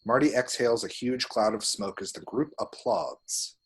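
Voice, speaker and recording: Low, slightly husky man narrating a script. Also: normal speed. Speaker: reader of action descriptions.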